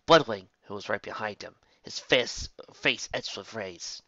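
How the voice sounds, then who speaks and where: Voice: mid-range voice, measured pace, bright, forceful tone, no echo, dull audio. A narrator reading scripted fiction aloud as an audiobook.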